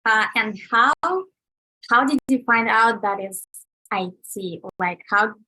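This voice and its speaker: Bright-voiced woman hosting an interview. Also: a little high, slow, low-quality recording. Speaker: host, interviewer.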